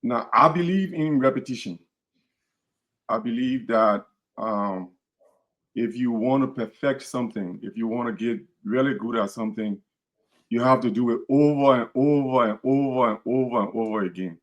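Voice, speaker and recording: A man's voice: medium pitch, moderate pace, muffled recording. A man from Liberia with a Liberian accent, hosting a podcast in an earnest, repetitive, casual way. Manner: earnest, repeats words and restarts phrases. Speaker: podcast host.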